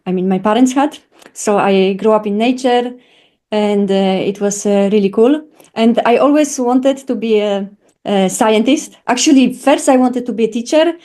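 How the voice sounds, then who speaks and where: A woman's voice: on the high side, normal speed, mellow. A Polish woman in her thirties with a Polish accent, a guest on a call-in interview, speaking thoughtfully with many fillers. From Poland. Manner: thoughtful, lots of "uh" fillers, earnest. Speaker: guest, neuroscientist. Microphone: remote call.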